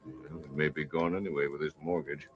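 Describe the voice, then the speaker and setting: An actor playing a folksy, cautious, regretful moneylender in an old-time radio western. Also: male voice, rumbling low, steady tone, fairly quick. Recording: muffled vintage radio drama recording.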